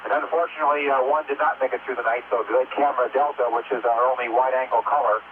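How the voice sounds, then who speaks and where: A man astronaut speaking to ground control over space radio, relaxed and chatty with frequent fillers, on a lo-fi radio recording. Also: mid-range voice, fast. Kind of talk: air-to-ground radio communication. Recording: dull lo-fi space-to-ground radio with faint hiss.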